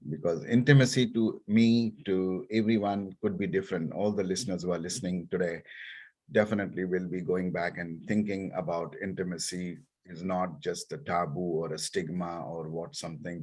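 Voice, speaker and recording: Deep, husky male host. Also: darkish tone. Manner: friendly, frequent backchannel murmurs. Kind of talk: introducing a guest in an interview.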